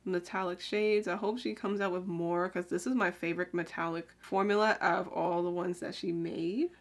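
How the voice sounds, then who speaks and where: A young woman chatting casually while she does her makeup, rambling with lots of "like" and "um" and drifting quieter as she works. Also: fairly low pitch. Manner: talks while applying makeup, drifts quieter then brighter. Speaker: beauty vlogger. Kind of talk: casual get-ready-with-me makeup chat.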